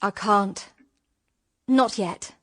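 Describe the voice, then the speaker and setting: A woman's voice: medium pitch, drawn-out words, a bit bright. An actress with a British accent playing an emotional, girlish young daughter, sweet but stubborn, in radio drama style. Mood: gentle, hesitant. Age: young adult.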